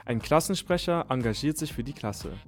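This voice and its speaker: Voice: mid-range voice, lively intonation, moderate pace. A radio presenter reading scripted narration in German for a report. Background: music.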